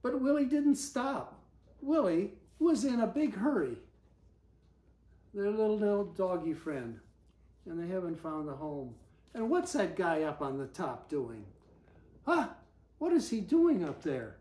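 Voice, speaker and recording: Older man reading aloud, slow and expressive. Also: sits high, reverberant. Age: older, about 70s. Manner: gentle asides and questions to the listener. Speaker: story reader. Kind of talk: animated storybook reading aloud to a small child. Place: living room armchair, indoors. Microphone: camera a few feet away.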